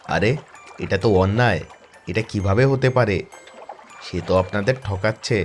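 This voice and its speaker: A man's voice: lowish voice, normal speed, faint music behind, lightly acted. A man voicing an earnest young farming engineer in a cartoon, indignant and confident.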